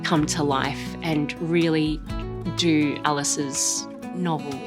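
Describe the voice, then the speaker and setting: A woman's voice: low voice, fairly even intonation, slow, over music. A woman speaking informally and enthusiastically in an interview. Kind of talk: casual interview.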